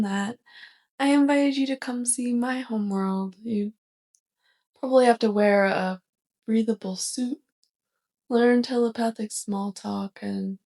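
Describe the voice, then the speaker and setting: A woman playing a sweet, curious, awkward alien roommate with a crush, speaking gently and haltingly in a soft ASMR roleplay. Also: on the high side. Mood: tentative, affectionate. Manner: gentle, hesitant, halting delivery.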